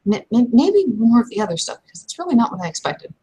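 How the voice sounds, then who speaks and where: A woman playing a proud, blunt young werewolf shield maiden in a tabletop game, with a husky voice and frank, halting phrasing. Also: a little high, sing-song, mellow, pitched above the performer's own voice, rough recording. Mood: blunt, let down. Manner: frank, hesitant phrasing but unyielding.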